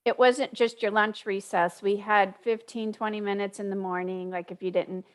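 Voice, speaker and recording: A woman's voice: mid-range voice, fairly even intonation, normal speed, clear, resonant tone. A woman in her fifties hosting a podcast interview over a video call, asking friendly questions in front of a studio mic. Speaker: podcast host. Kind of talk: casual interview. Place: room with whiteboard. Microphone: studio microphone on video call.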